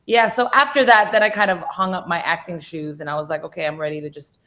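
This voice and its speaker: A woman's voice: lowish voice, steady tone, fast, a bit bright. A middle-aged woman from the Philippines, a guest in a radio studio, telling stories in casual chat with many "you know" fillers.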